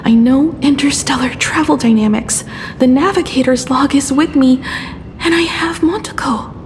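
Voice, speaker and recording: An actress playing a frail young woman engineer in a game drama, soft and breathy, with a wistful, weary manner. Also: high voice, a little hiss or hum, natural voice. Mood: despairing, wistful. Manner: slow, sighing, wistful.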